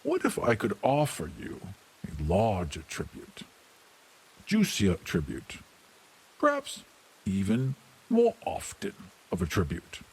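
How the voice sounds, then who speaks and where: A man playing a sly, courtly wandering priest in a tabletop game, bargaining in a husky, formal, persuasive way. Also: lowish voice, wildly swooping pitch, takes it slowly, pauses often, a little mellow, pitched below the performer's own voice, exaggerated voice, rough recording. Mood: tempting.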